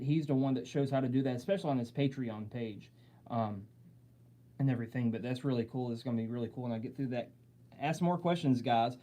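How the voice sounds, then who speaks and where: This young man speaks with a Southern US accent, and his mellow, warm voice sits at an ordinary pitch. He rambles earnestly through a live Q&A, often restarting and repeating himself. He sounds like he is talking from a home workshop. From southern United States. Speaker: wood carving channel host. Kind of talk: live-stream Q&A chat.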